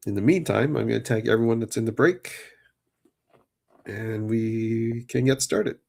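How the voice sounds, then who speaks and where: A man hosting a livestream card break, chatting casually, a little hoarse and nasal from a cold. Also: mid-range voice, measured pace. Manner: relaxed, chatty, many fillers.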